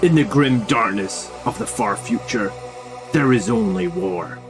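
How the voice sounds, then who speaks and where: Man narrating a slow, grim intro. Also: on the low side, animated, over music. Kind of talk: dramatic scripted narration.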